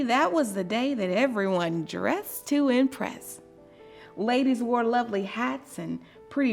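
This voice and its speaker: A woman reading a children's story aloud in a deliberate, expressive and dramatic storytelling manner. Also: mid-range voice, gravelly. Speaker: storybook reader.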